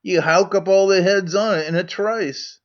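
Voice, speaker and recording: A man's voice: high-pitched, fairly even intonation, moderate pace, voice pushed up, natural voice. A man putting on a wheedling Scottish trader. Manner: broad dialect, coaxing and blustering. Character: crafty poor male trader, older, wheedling. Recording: muffled lo-fi recording.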